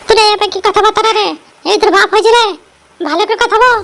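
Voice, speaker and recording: Woman playing a cartoon village mother, extremely high voice, quick, scolding, quarrelsome. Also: steady tone, fairly bright tone. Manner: scolding, abusive. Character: shrill quarrelsome young village wife and mother. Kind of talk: amateur comic cartoon dubbing.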